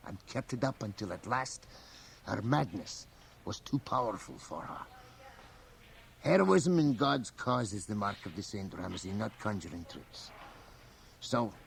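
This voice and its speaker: A creaky, mischievous ancient priest. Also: male voice, lowish voice. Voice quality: creaky, gravelly aged voice. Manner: sly, chuckling, hushed asides, dramatic pauses. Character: very old, mischievous, learned Jesuit priest, over a hundred. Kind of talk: radio drama acting. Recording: faint hiss, home cassette radio transfer.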